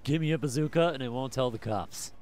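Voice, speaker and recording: A man's voice: mid-range voice, brisk, darkish tone, slight background noise, close to an everyday voice. A man voicing a crude, wisecracking teenage boy in an audiobook. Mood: joking.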